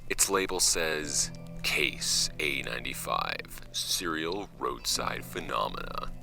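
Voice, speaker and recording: An actor playing a wry, offhand young man narrating his homemade podcast about his late uncle's files in an audio drama, with a gravelly voice. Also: expressive, over music, heavily acted. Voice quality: very deep, gravelly. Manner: wry, offhand, halting when ad-libbing, measured when reading.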